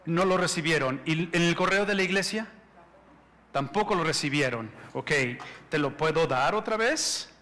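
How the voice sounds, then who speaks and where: Bright-voiced preacher in his forties in a reverberant hall. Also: fairly high pitch, lively intonation, normal speed. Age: 40s. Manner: conversational preaching with frequent repetitions and restarts; addresses the audience with questions. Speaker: pastor. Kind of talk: conference sermon. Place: large church hall.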